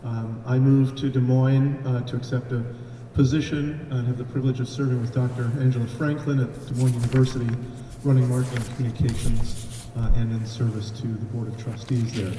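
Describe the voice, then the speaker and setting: A man with a very dark, mellow voice introduces himself politely at a district event. He is recorded at a distance in a roomy hall, with faint noise behind him. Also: mid-range voice, little pitch movement, brisk. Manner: polite and self-deprecating. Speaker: public image chair.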